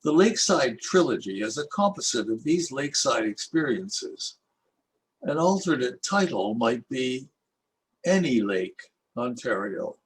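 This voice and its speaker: Older man slowly reciting his poems. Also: mid-range voice, a little hiss or hum, rough recording. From Canada. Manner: lilting, expressive recitation with rhythmic rhyme. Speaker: poet reading his own work. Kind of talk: slow, measured poetry reading.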